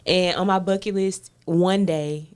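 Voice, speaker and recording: A woman's voice: lowish voice, fairly even intonation, a little slow, clean tone. A woman speaking casually as a guest in a group conversation, in short informal phrases. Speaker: guest, stage play cast member. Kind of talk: casual chat.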